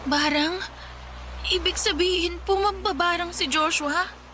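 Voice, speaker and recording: A very high, bright voice, a meek, ailing young Filipina in a radio drama. She sounds plaintive and polite, with faint noise behind her. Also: fairly even intonation, a little slow, full character voice. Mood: uneasy, resigned. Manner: plaintive, hesitant, respectful. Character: suffering, meek, polite young female office worker.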